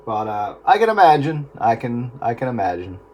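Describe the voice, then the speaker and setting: A man's voice: mid-range voice, very animated, moderate pace, dull audio. A middle-aged American man chatting casually and folksily over a two-way radio. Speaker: amateur radio operator in a round-table chat. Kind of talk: casual chat. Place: on-air radio contact. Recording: very noisy two-way radio transmission.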